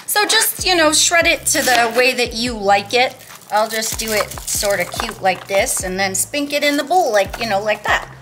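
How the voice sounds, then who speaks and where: A woman's voice: a little high, lively intonation, moderate pace, noisy background. A woman in her thirties chatting casually as she walks through her meal prep in a home kitchen, upbeat with cutesy words. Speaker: vlogger.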